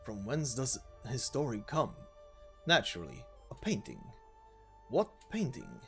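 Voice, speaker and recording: Man as old-style game-story bard: dramatic, measured, rhetorical, widely varied pitch over faint music. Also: wildly swooping pitch, fairly bright tone, full character voice. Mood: solemn, rhetorical. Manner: rhetorical, measured, archaic phrasing. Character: theatrical old-style storyteller recounting a melancholy tale.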